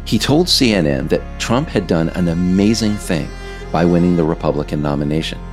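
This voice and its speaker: Man, very low voice, widely varied intonation, scripted audiobook narration, music underneath. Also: expressive, normal speed. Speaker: author narrating own audiobook.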